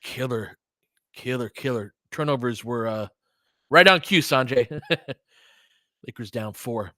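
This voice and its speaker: A man's voice: medium pitch, slow pace, sharp tone, no echo, studio quality. A man doing casual basketball play-by-play as a stream host, in clipped phrases with chatty asides to listeners.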